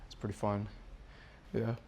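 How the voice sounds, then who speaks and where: A man in his twenties from South Korea, a native Korean speaker, talking casually and haltingly to camera in unscripted English as a beginner vlogger. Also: vocal fry. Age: 20s. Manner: hesitant, halting, low-key. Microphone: small wireless clip-on mic. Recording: steady background noise on a small wireless mic.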